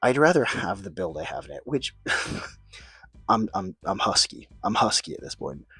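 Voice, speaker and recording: A man's voice: lowish voice, sing-song, unhurried, bright, forceful tone, breathy edge, no room sound. A young man, rambling through would-you-rather questions in casual chat, mocking himself, swearing offhand and restarting his sentences. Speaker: video creator answering questions.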